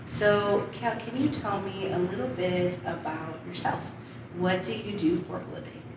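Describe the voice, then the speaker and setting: A woman's voice: medium pitch, normal speed. A woman conducting an oral history interview in an encouraging, affirming manner. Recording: very echoey, distant, noisy room.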